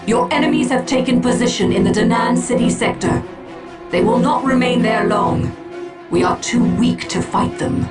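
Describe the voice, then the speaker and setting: An actress playing a proud, aloof female alien seer in a video game, speaking in a measured, formal, grave manner. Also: a little high, over music, lightly acted. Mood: resigned, reproachful. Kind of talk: video game cutscene acting.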